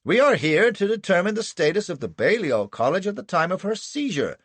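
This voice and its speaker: Man acting pompous, blustering defence lawyer, pitched high. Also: very animated, a little fast, slightly rough, dry recording, heavily acted. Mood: indignant. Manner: forceful, pompous, formal objections. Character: indignant, blustering defence lawyer, honest fat-faced man. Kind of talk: audiobook character acting.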